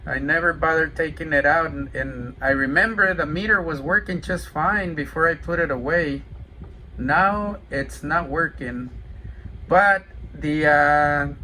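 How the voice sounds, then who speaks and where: A man with a slightly high, bright voice talks casually as a radio operator showing off gear. His delivery is slow and hesitant, with light noise behind him. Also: poor audio. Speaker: amateur CB radio operator demonstrating a radio for sale. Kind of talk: casual radio chat and product demonstration.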